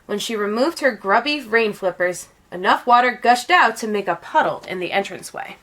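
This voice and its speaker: A woman reading a children's picture book aloud as an expressive storyteller. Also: mid-range voice, moderate pace. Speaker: read-aloud storyteller, teacher.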